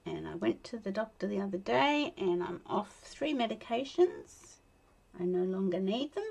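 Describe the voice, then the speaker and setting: Australian woman, croaky and tired, slow chatty card-making demo, gravelly husky tone, mutters while working. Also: mid-range voice, darkish tone, slight background noise. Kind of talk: casual crafting tutorial chat. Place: indoor craft desk, overhead camera.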